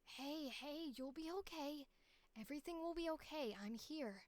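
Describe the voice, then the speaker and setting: Boyish young anime companion, played by a woman, comforting and protective; higher register, dry studio sound. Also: moderate pace, dry recording, lightly acted. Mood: urgent reassurance. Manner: reassuring, hushed at times. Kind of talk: anime-style voice audition acting.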